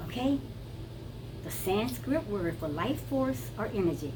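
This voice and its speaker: Brazilian lady vlogging, kinda high voice, repeats stuff for emphasis, noisy recording. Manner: emphatic, repeats words, addresses viewers as "people". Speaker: wellness vlogger. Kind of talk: casual teaching monologue. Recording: noticeable background noise.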